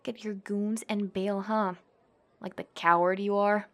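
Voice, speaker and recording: A woman's voice: mid-range voice, flat delivery, measured pace, close to an everyday voice. A young woman playing a tough, cocky tsundere classmate who fights MMA, brash, bossy and teasing, in an ASMR roleplay. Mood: mocking, taunting. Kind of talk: ASMR girlfriend roleplay acting.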